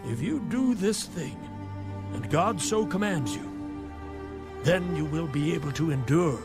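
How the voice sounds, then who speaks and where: Slow, earnest biblical father-in-law. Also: on the high side, animated. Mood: earnest counsel. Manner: measured, earnest, advisory. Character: wise father-in-law giving earnest, measured counsel. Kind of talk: dramatized scripture audiobook. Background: music.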